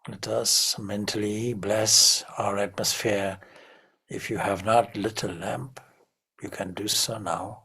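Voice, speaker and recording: Male meditation teacher, lowish and very breathy-rough voice, gently instructive, measured delivery with long pauses. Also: fairly even intonation. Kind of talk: guided meditation.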